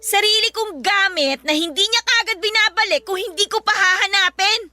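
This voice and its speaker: A woman's voice: very high-pitched, normal speed, pushed and bright, heavily acted. A Filipino woman acting an irritable, aggrieved elder sister in her twenties in a radio drama, scolding and exasperated. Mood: aggrieved, protesting. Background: music.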